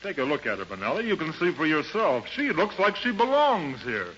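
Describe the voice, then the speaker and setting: A man's voice: a little high, huge pitch swings, brisk, fairly bright tone. An actor playing a pushy, hard-boiled gambling-house henchman in a 1930s radio drama, with slangy American speech. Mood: persuasive. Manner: slangy, tough-talking. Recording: dull, noisy 1930s radio recording.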